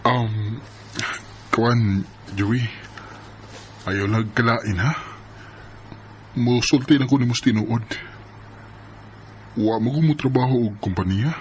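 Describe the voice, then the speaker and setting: A man's voice: medium pitch, slow pace, pauses often, a little hiss or hum, natural voice. A man playing a deceitful, jealous young husband with a violent temper in a Bisaya radio drama. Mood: sheepish, coaxing.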